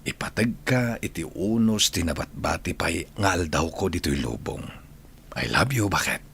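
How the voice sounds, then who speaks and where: A man's voice: low-pitched, expressive, normal speed, gravelly, fairly bright tone, slight background noise, exaggerated voice. A man playing a devoted, sentimental widowed groom in an Ilocano radio drama, with a heartfelt, emotional delivery. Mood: joyful, loving.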